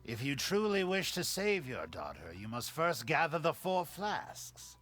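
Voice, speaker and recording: Game merchant, suave, very animated pitch, bright forceful voice, formal and ingratiating. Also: male voice, unhurried, soft background music, exaggerated voice. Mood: suave, obliging. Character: suave, theatrical, courteous merchant man. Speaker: shopkeeper. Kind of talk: video game character acting.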